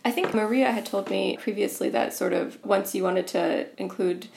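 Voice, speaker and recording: A woman's voice: medium pitch, normal speed, vocal fry, darkish tone. A woman interviewer asking questions hesitantly, hedging with "kind of" and "um". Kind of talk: interview hosting.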